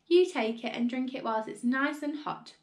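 A woman voicing a mischievous storybook husband, sweetly goading and quietly gleeful. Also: high voice, normal speed, voice pushed up. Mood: sly, sweet. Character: sly, mischievous husband, gleeful about his mother-in-law's fate.

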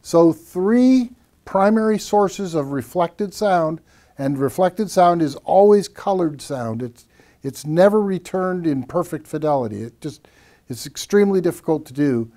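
An older man co-presenting a technical explanation in a measured, didactic way, repeating key phrases. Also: on the high side, animated, mellow. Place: small acoustically treated home theater room.

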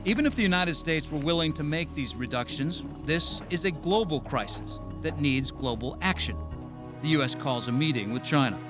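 A man's voice: a little high, lively intonation, normal speed. A man delivering scripted narration as the narrator of a documentary. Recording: music under the narration. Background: background music.

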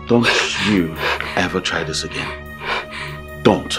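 An actor playing a domineering, scornful husband in a melodramatic film, forcefully repeating curt commands in an echoey room. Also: male voice, deep voice, slow pace. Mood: indignant, commanding. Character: domineering, scornful husband rejecting his wife. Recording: echoey room with music over it.

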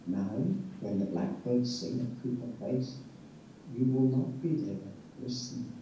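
A man reading his own poem in a slow, reflective way. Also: medium pitch, dark tone. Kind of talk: poetry reading. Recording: echoey, distant, faint noise.

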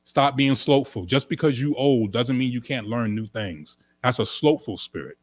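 A middle-aged man, a pastor, teaching from the Bible in an emphatic sermon style, repeating his points with animated gestures, recorded in a small indoor studio on a desk stand mic. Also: mid-range voice, normal speed. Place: small indoor studio room with couch and curtain. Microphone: desk stand microphone.

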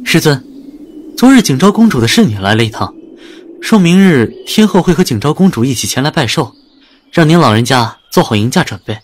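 A man's voice: a little high, very animated, fairly quick, slight background noise. A man acting in an audio drama as a dutiful young disciple, speaking formally and respectfully to his master. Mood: respectful reporting. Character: earnest, dutiful young male disciple of an immortal.

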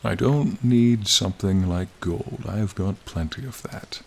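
A man voicing a charming, friendly ancient dragon in a soothing, formal, gently teasing ASMR roleplay. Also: fairly low pitch, measured pace, gravelly, slight background noise. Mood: gracious, warm. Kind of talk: ASMR roleplay, slow intimate monologue. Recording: rain and cave ambience behind.

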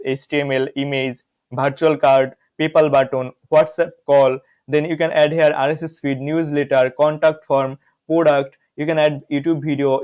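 A young man from Bangladesh with a slightly raised pitch, guiding viewers through a software tool on screen. He talks from a small room into a close desk microphone, calling out each click and often addressing viewers as "guys". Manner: walks through steps, frequent "guys" and "see". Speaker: software reviewer. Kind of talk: screen-recorded software tutorial.

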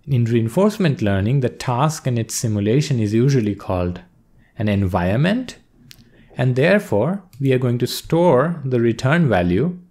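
A male instructor calmly explaining a coding task step by step in a screen-recorded lesson. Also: mid-range voice. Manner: steady explanatory pace, walks through steps. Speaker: course instructor.

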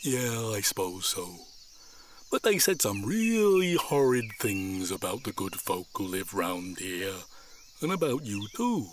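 A man's voice: lowish voice, sing-song, unhurried. A male actor playing a naive, simple creature with rustic grammar in an audio drama. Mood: sheepish, defensive. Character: childlike, simple creature guarding its ancestral park. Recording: audible outdoor nature noise.

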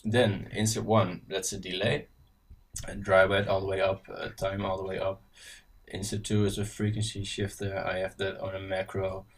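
Dutch-accented man, low voice, halting casual tutorial voice-over, set back from the mic. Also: flat delivery, recorded from a distance. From Netherlands. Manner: casual, halting, many ums and restarts. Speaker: synth tutorial presenter. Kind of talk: screen-recorded software tutorial. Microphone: voice-over on screen recording.

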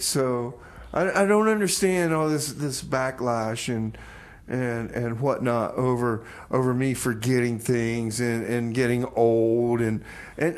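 A man's voice: mid-range voice, close to an everyday voice. A man playing a forgetful, self-important aging radio DJ in a comedy radio play, rambling and stammering defensively.

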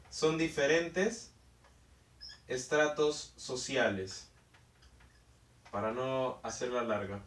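A young man teaches in front of a whiteboard. He breaks each term into steps, repeats key words and keeps checking in with listeners. His fairly high voice comes from a few steps off the camera mic, and the room gives it a clear echo. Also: a bit bright, recorded from a distance. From Peru. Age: young adult. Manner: explanatory, repeats key terms, frequent "¿ok?" check-ins. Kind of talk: whiteboard lesson. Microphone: camera mic from a distance.

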